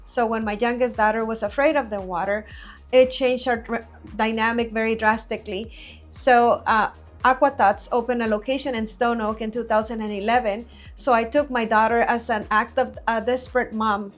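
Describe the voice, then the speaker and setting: A woman from Mexico, in her forties, talks in a higher voice that stays strikingly level and even. She answers earnestly and at a measured pace, pausing on small fillers, and light music plays faintly underneath. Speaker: guest business owner. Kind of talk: interview answers. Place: studio.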